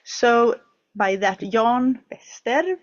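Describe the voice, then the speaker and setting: A woman's voice: fairly high pitch, expressive, drawn-out words, highs cut off. A woman hosting an online project session from a studio, talking hesitantly with many fillers and restarts. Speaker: webinar host.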